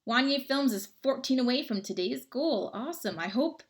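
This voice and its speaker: A woman's voice: sits high, lively intonation, moderate pace. A woman, chatting casually with viewers on a livestream from home and reading out their comments. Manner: chatty, friendly, rambling. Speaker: livestream host. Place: home, indoors.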